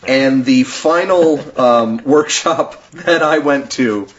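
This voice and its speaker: A man's voice: medium pitch, takes it slowly. A man hosting a podcast in casual chat, explaining things in a talkative way with frequent chuckles. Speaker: podcast host. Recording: dull lo-fi podcast recording.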